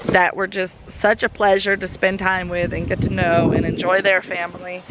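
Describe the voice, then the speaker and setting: Bright, hoarse woman vlogging. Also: medium pitch, slight background noise, rough recording. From Southern United States. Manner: chatty and upbeat, talks to her kids and camera. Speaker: homestead vlogger. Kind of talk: casual vlog chat. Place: outdoors in a backyard.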